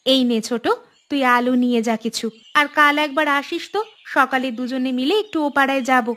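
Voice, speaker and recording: Woman acting a bright-voiced, patient village sister-in-law. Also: sits high, fairly even intonation, brisk. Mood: friendly. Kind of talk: cartoon voice acting.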